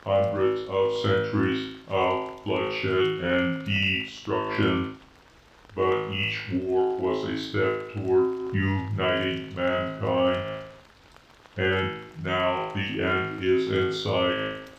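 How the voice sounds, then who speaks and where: Calm machine soldier for a sci-fi tale; low-pitched, monotone, muffled under loud music. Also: male voice, vocal fry, heavily acted. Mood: solemn, measured. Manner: slow, measured, monotone pronouncements. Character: calm, solemn, all-knowing male robot soldier. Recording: dull, echoing, with loud music.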